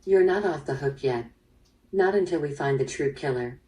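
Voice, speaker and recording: A woman playing a probing, businesslike police detective in an interactive radio drama, measured in her questioning. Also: low-pitched, heavy background noise, natural voice. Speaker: voice actor in interactive audio drama. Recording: played through speaker, slightly distant.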